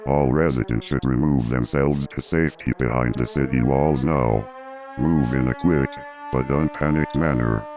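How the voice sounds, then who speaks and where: Male civil alert announcer: bottomless low pitch, measured official reading, clear music underneath. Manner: formal, measured. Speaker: emergency broadcast announcer. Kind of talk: scripted civil emergency announcement.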